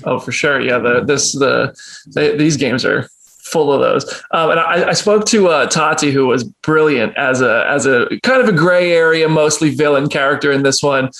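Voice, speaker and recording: Higher-pitched enthusiastic man, roomy echo. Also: moderate pace, fairly bright tone. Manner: enthusiastic, rambling questions. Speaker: interviewer, entertainment show host. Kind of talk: casual, conversational interviewing. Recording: roomy, echoey sound.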